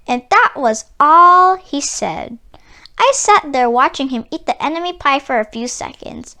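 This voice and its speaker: A woman reading a children's storybook aloud in an expressive, animated way, with dramatic pauses and emphasis. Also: high voice. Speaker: children's book read-aloud narrator. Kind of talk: expressive scripted storybook narration for kids.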